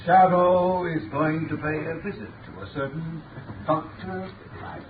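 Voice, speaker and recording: Tinny, polished gentleman sleuth, a man acting. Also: a little high, steady tone, a little slow, natural voice. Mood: firm, concerned. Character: urbane gentleman sleuth, protective and firm. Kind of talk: 1930s radio drama acting. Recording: tinny, distant, hissy 1930s radio recording.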